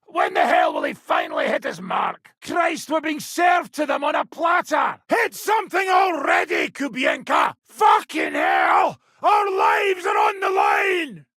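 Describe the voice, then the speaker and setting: An actor playing a brash, foul-mouthed medieval mercenary commander in a video game, with a rough, raspy voice and a coarse, sardonic swagger. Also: male voice, very high-pitched, huge pitch swings, unhurried, few pauses, pushed and bright, dry recording, shouting, clean studio sound, some chatter behind, exaggerated voice. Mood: angry shouting. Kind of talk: video game character acting.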